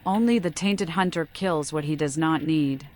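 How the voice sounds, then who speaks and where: A woman with a fairly low voice performs scripted video game lines. Her delivery is a dramatic reading, as the protagonist of the game. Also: normal speed, darkish tone, a little hiss or hum. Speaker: gamer voicing the protagonist and reading game text.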